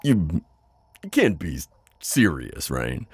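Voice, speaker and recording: A man playing a suave, skeptical demon buyer in an ASMR audio roleplay, with a deep voice and a sardonic, halting manner. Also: huge pitch swings, slightly rough, dry recording, exaggerated voice. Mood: amused, incredulous. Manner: flirty, sardonic, hesitant pauses and drawn-out words.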